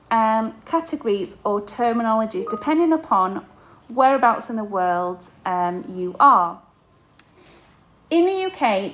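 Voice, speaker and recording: A woman's voice: a little high, poor audio. Woman teacher explaining to parents. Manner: explanatory, with frequent fillers and restarts. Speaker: inclusion teacher. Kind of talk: podcast-style school presentation for parents.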